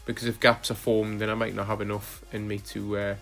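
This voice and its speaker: Flat-voiced man narrating his rides. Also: on the low side, brisk, creaky, faint music behind. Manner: relaxed, hesitant with frequent fillers. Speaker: cycling vlogger. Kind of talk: casual voice-over narration of ride footage.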